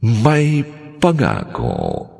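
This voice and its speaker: A man announcing a radio drama's credits in a theatrical, scripted style with drawn-out emphasis. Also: on the low side, huge pitch swings, creaky, a little mellow, slightly rough, no room sound, studio quality.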